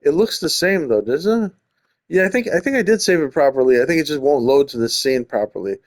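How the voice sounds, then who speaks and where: A man's voice: a little high, lively intonation, a little fast. A man hosting a gaming video, thinking aloud informally and reading the story text out as he plays. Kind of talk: casual game commentary mixed with read-aloud narration.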